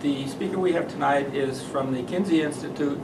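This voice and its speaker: A man introducing a guest speaker at an event, halting with many fillers. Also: a little high. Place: meeting room with audience. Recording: roomy, distant mic, background noise.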